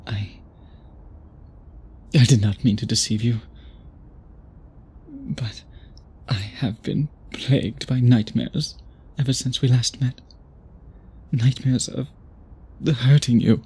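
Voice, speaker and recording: A man playing an obsessed, tormented vampire suitor in an intimate ASMR roleplay, speaking formally and haltingly in a breathy, husky voice. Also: mid-range voice, darkish tone. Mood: remorseful, worried. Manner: formal, theatrical, halting speech with dramatic pauses.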